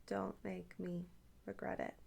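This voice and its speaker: A woman's voice: mid-range voice, monotone, unhurried, creaky, darkish tone, slight background noise. A woman chatting casually and intimately to the listener as an ASMR host, with playful, teasing asides.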